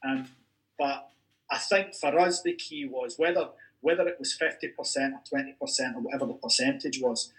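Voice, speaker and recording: A Scottish man, an academic in a podcast discussion, making careful, measured points that he qualifies as he goes. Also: mid-range voice, fairly bright tone, rough recording. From Scotland. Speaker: university academic discussing football history.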